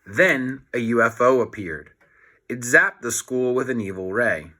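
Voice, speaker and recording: Guy reading a kids book out loud, slow and clear-paced, bright forceful voice, little asides. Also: mid-range voice, expressive. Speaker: storybook reader. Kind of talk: expressive read-aloud narration for children.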